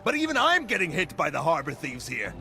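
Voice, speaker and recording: A man's voice: a little high, wildly swooping pitch, brisk, gravelly, pushed and bright, heavily acted. A man playing a boastful, menacing pirate slaver captain in a fantasy video game, with a theatrical, contemptuous swagger. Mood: contemptuous, grumbling. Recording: game music behind.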